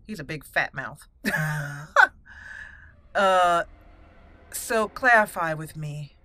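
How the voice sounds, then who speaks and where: A woman hosting a roleplay let's play, musing deliberately and slipping into her character's dialogue. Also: sits low, expressive, bright, forceful tone. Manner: musing, deliberate, thinking aloud. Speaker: gaming channel host roleplaying a character.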